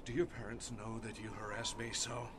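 An actor playing an enraged, menacing old man in dramatic audio fiction, gravelly and theatrical, with deliberate delivery. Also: high-pitched, big pitch swings, sharp tone, full character voice. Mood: stern, threatening. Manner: theatrical, deliberate, swinging from hiss to roar.

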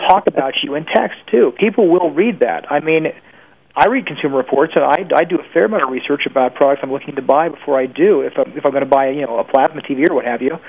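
A man's voice: fairly high pitch, breathy edge. A man speaking as a guest expert in an interview, giving conversational advice with examples over a phone line. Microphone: telephone. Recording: tinny phone line on radio.

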